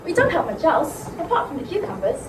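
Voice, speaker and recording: A woman's voice: high voice, sing-song, a little fast. A woman playing a humble, polite farm schoolgirl in a theatrical comedy, deferential and chatty but innocently evasive. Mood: eager, hospitable. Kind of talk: theatrical comic drama. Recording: roomy, distant, clearly noisy recording.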